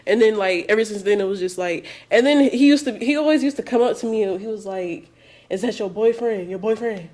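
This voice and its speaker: A woman in her twenties talks casually with her partner in slangy, animated speech. She tells stories with plenty of laughter. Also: medium pitch, a little fast. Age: 20s. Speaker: co-host. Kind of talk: casual couple chat.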